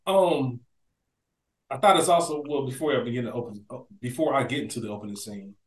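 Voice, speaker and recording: A man's voice: mid-range voice, brisk. A man co-hosting a podcast, discussing things in a conversational, reflective way, recorded in an echoey room. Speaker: podcast co-host. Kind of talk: casual discussion.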